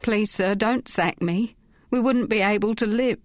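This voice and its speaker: A woman reading as a scared young constable, halting and pleading, in a low, dry voice. He sounds cornered and tearful. It is a spoken storybook. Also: no room sound, natural voice.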